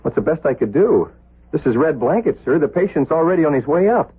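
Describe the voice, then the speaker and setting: An actor playing an eager, cocky young surgical resident who turns hesitant with superiors, in a 1970s radio drama. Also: male voice, on the high side, very animated, fairly quick, husky, exaggerated voice. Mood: deferential. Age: young adult. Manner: hesitant, stammering, deferential. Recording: lo-fi narrowband old radio recording.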